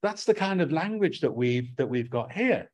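A man's voice: on the high side, lively intonation, normal speed, darkish tone. Man, English accent, middle-aged, sermon, earnest, repeats words when restarting, webcam at home. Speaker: preacher.

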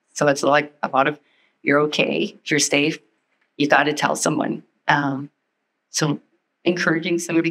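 A woman giving a halting, nervous personal testimony as a panelist, speaking into a handheld mic in a meeting room. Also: deep voice, fairly bright tone, rough recording. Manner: halting, nervous, many restarts.

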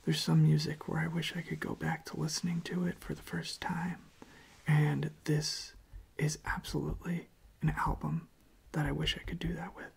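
A young man speaking softly in a gentle, unhurried, hesitant way as an ASMR host. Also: a little high, level tone, vocal fry, breathy edge. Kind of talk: soft-spoken whispered ASMR.

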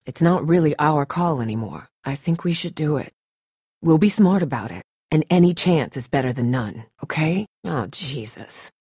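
A woman playing a tough, blunt, protective young survivor in a video game, with a husky voice and a terse, urgent, sardonic delivery. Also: low voice, animated, normal speed, a little mellow, no echo, clean studio sound, full character voice. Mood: tense, guarded. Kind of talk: dramatic game dialogue.

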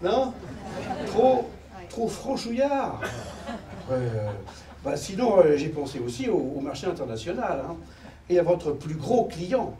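High-voiced middle-aged man on a theater stage. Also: big pitch swings, measured pace, a crowd in the background, noisy background. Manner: chatty, self-mocking, playing at a keyboard. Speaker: comedian and pianist. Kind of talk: musical stand-up comedy. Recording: echoey theater, distant. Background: keyboard music.

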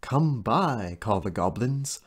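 Guy acting as creepy goblin thing in an audio play, husky, chanting old verse slow. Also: medium pitch, animated. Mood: eerie, ominous. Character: eerie goblin creature in a missing child's shape.

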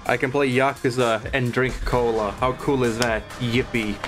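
A man's voice: mid-range voice, steady tone, moderate pace, music in the background. A man chatting casually as a live streamer while he comments on the game he is playing.

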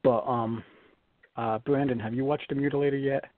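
A man's voice: mid-range voice, steady tone, normal speed, no room sound. Rhode Island man hosting on a tinny line. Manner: rambling, restarts often. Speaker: talk show host. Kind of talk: casual panel chat. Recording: dry tinny phone-line audio.

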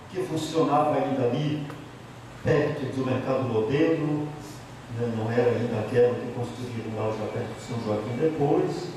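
A man's voice: fairly high pitch, darkish tone, slight background noise. A middle-aged man with a Bahian Portuguese accent, a priest telling his life story to a group in an office meeting room on a handheld mic, emphatic, repeating key phrases. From Bahia, Brazil. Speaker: priest giving a talk. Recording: echoey room from a far camera.

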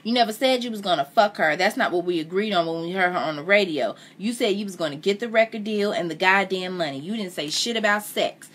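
A woman's voice: mid-range voice, fast talker, hardly any pauses, husky, voice pushed up, lightly acted. A woman voicing a furious, foul-mouthed young wife, indignant and demanding, as part of a story read aloud.

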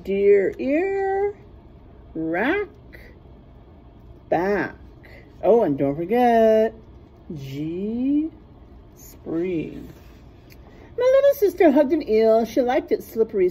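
Expressive, slightly husky woman reading to children. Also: mid-range voice, low-quality recording. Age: middle-aged. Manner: teacherly, pauses to point out rhyming word pairs. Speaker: storyteller reading a children's book aloud. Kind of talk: animated rhyming picture-book read-aloud. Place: small classroom, indoors.

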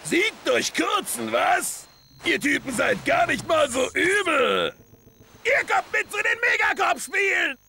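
A man voicing a huge aggressive brute gladiator in a cartoon video game, bellowing with a gravelly voice. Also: very high voice, big pitch swings, takes it slowly, sharp tone, shouting, a little hiss or hum, heavily acted. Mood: gruffly approving. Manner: bellowing threats laced with arithmetic puns. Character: huge aggressive math-obsessed brute gladiator called the Mathematician.

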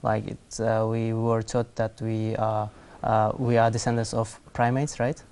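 Soft-spoken young man from Kazakhstan. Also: fairly low pitch, completely flat delivery, vocal fry, clear, resonant tone. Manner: soft-spoken, measured, hesitant with fillers. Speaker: guest. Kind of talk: studio interview. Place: TV studio set.

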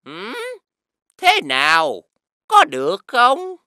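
A man voicing an arrogant, demanding foreign envoy in an animated fairy tale, with a haughty, scolding delivery. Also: extremely high pitch, very slow, gravelly, heavy background noise, exaggerated voice. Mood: smug, formal. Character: arrogant, demanding male envoy of a great kingdom. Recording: music behind the voice.

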